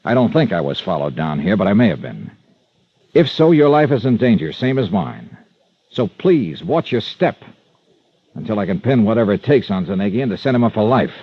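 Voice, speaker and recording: A man's voice: lowish voice, very animated, normal speed, breathy edge, no echo. A man acting in a 1950s radio drama, playing a hard-boiled, wary insurance investigator with clipped, emphatic, tough talk. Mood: grave warning. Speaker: star of a radio detective serial. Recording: dull vintage radio recording.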